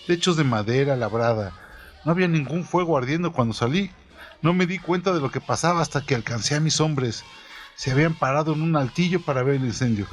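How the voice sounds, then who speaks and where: A man reading a first-person story aloud in a steady storytelling manner, his voice falling low at the ends of phrases. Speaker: narrator. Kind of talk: scripted audiobook narration.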